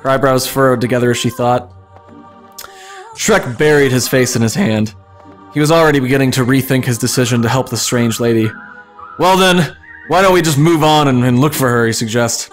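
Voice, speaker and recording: A man reading a comic fan fiction story aloud as dramatic narration. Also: mid-range voice.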